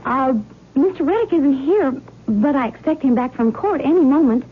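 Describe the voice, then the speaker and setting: High-voiced, flustered young secretary. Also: female voice, lively intonation, normal speed. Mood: polite, flustered. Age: young adult. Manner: polite, flustered, eager to please. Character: earnest, flustered young woman posing as lawyer's secretary. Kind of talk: old-time radio drama acting. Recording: muffled vintage radio with faint noise.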